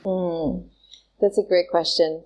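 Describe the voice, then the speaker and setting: Low, flat-voiced woman speaking thoughtfully. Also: a little hiss or hum. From Singapore. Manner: thoughtful, halting, many pauses and fillers. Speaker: guest, somatic trauma specialist. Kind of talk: reflective interview answers.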